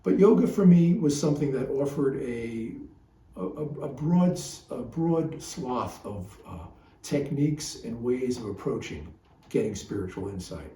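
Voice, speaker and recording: Mellow man in a roomy, distant recording. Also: medium pitch, expressive, unhurried. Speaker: psychotherapist reading his own poems and teaching. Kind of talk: reflective spiritual talk with poem readings. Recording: roomy, distant mic, faint noise.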